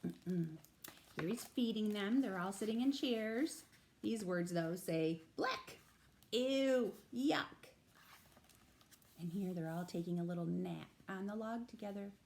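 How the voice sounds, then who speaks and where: A woman reading a picture book aloud to young children as a storytime librarian, expressive and emphatic, with explanatory asides. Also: mid-range voice, normal speed, slight background noise. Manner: animated, emphatic, with explanatory asides to little listeners.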